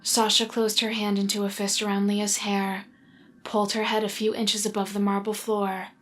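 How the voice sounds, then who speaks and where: A woman's voice: medium pitch, flat delivery, normal speed, a bit bright, slight background noise. A woman narrator reading a scripted dramatic story for an audio fiction serial.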